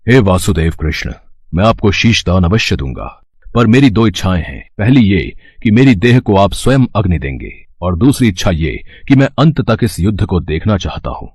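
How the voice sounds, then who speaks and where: A man's voice: sits low, sing-song, normal speed, darkish tone, slightly rough, no room sound, heavily acted. A man playing a brave, reverent young warrior prince in a mythological story, speaking formally and earnestly. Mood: devout, resolute. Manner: formal, declamatory Hindi, earnest. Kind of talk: scripted mythological storytelling drama.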